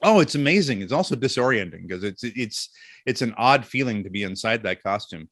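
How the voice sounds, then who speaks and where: A man's voice: lowish voice, lively intonation, a little fast, a bit bright, no echo. Middle-aged man telling stories, dry close sound. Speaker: interview guest, actor. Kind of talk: casual interview storytelling.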